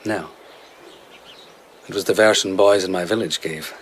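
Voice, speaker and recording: A man's voice: low voice, normal speed, slight background noise, close to an everyday voice. A man in a radio drama playing a dreamy, hard-drinking vagabond who tells lyrical, rambling tales as a royal favourite. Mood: wistful, teasing.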